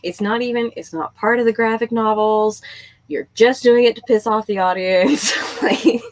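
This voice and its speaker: A woman's voice: fairly high pitch, moderate pace, no echo. Woman hosting chat, close dry sound. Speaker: book discussion host. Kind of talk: casual group chat about books and shows.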